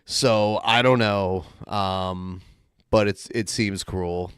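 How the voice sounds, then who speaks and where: A man hosting a podcast, chatting casually and excitedly with frequent laughs. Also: on the low side, slow pace, clear, resonant tone, no echo, clean studio sound. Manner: excitable, laughing, swears freely. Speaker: podcast host. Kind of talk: casual banter.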